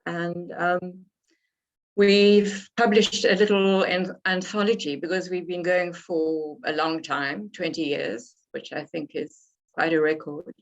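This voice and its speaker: Slow, hoarse woman poet. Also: on the low side, fairly even intonation. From South Africa. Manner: unhurried, reflective, pausing between phrases. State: slightly hoarse from a cold. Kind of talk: poetry reading with spoken introductions. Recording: dull lo-fi recording.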